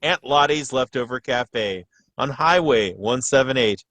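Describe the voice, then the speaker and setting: A man playing a radio announcer, delivering a parody commercial as a polished, deadpan sales pitch. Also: a little slow, noisy background, close to an everyday voice. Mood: earnest selling. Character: smooth-selling announcer of a parody cafe ad.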